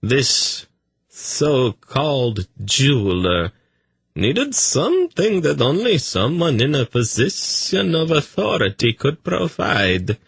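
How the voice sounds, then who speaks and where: A languid, dreamy eunuch courtier speaks in a voice lifted well above a man's usual register, as a male reader puts him on. The words stretch out slowly and softly, with a faint husk. The sound is close and dry. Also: medium pitch, huge pitch swings, creaky, breathy edge, no echo, voice pushed up, exaggerated voice. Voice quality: dreamy, drawn-out delivery.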